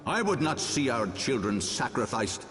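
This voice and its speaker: A man's voice: medium pitch, sing-song, moderate pace, a bit bright. An actor playing a proud, stern old archbishop and grave father in a fantasy game, speaking in solemn, oratorical, archaic phrases. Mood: impassioned, defiant. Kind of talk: dramatic fantasy game voice acting. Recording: long hall reverb, music underneath.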